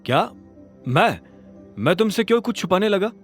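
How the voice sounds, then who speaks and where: Man acting a guarded, hesitant young man, lively pitch. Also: soft background music. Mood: evasive. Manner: hesitant, halting, deflecting. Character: guarded, evasive young man hiding his true work. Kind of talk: Hindi audio drama dialogue.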